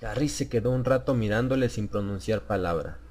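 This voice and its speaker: Low-pitched man narrating horror. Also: brisk, a little mellow. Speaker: audiobook narrator. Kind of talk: dramatic scripted horror narration.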